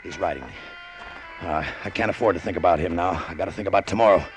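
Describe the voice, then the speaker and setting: An actor plays a hard-boiled American male pilot, haunted and greedy. His voice is low and his delivery clipped and brooding. It is vintage radio drama, with music playing underneath. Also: fast talker, close to an everyday voice, low-quality recording. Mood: shaken. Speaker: actor narrating in character. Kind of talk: old-time radio drama acting.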